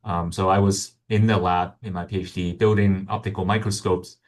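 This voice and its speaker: A man's voice: sits low, flat delivery, normal speed. A man from Hong Kong, a guest scientist answering interview questions in a hesitant way, with many ums and restarts.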